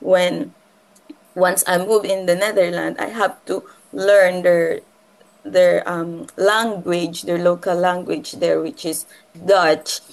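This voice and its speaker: A woman from the Philippines with a Filipino accent and Filipino as her first language, talking casually and haltingly, often repeating and rephrasing her phrases. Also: on the low side, steady tone, creaky, low-quality recording. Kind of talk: casual conversational personal talk.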